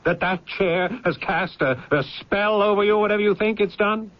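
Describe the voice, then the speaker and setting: An actor playing a burdened, practical adult son with an American accent in a 1970s-style radio drama. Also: male voice, high-pitched, normal speed, close to an everyday voice. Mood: exasperated. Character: burdened, practical adult son caring for his stricken mother. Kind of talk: 1970s radio drama acting with introspective first-person narration. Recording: dull vintage radio recording, faint music.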